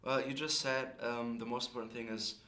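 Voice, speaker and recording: A man's voice: mid-range voice, little pitch movement, a little fast, sharp tone, echoey room. A man interviewing a guest, asking long, careful questions with many fillers.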